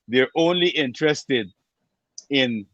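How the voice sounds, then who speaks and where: A man co-hosting a casual music industry chat, thinking out loud and repeating words as he goes. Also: mid-range voice, animated, slow, no echo, lo-fi sound. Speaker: podcast co-host.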